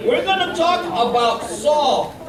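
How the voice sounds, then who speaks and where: A woman hosting a children's show, calling out to the kids in excited exclamations. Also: high voice, takes it slowly. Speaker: children's church show host. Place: large church hall with a live crowd. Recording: echoey hall, distant mic, noisy crowd. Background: crowd of children and room noise.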